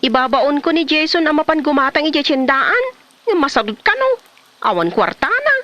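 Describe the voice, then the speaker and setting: A woman's voice: high-pitched, sing-song, moderate pace, sharp tone, highs cut off, full character voice. A woman playing a plaintive pregnant wife who is fed up with her lazy husband in a comedy drama. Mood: complaining.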